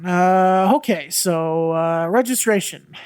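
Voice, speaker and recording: A man's voice: high voice, slow pace, a little mellow, clean tone, dry recording, faint music behind. Laid-back gym boss for a roleplay podcast, played by a man; casual, unbothered, reassuring delivery, very dry recording. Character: laid-back male gym leader running a motel. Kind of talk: tabletop roleplay acting.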